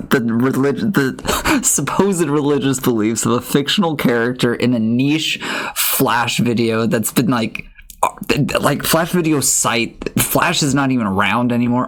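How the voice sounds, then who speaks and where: A man's voice: medium pitch, unhurried, a bit bright. A man streaming a game, chatting casually in a rambling, self-mocking way while losing his voice. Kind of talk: casual gameplay chat.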